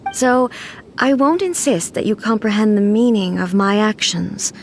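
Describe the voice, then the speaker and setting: An actress playing a solemn, imperious female god ruler in a video game, speaking in a measured, formal and grave way. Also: mid-range voice, slight background noise, close to an everyday voice. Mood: solemn, unyielding. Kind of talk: dramatic video game voice acting.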